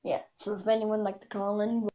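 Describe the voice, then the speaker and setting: Female puppeteer guest, medium pitch, casual and hesitant delivery. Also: little pitch movement, fast, dark tone. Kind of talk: casual chat.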